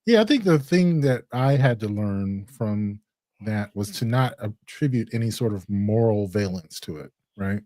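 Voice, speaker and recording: A man from Chicago with a deep, rich voice, co-hosting a casual chat in a measured, reflective way. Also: expressive, dark tone, no room sound. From Chicago, USA. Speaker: co-host.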